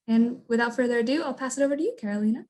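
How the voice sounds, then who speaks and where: A woman hosting a student program, giving a quick, upbeat introduction of a guest. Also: fairly high pitch, a little mellow.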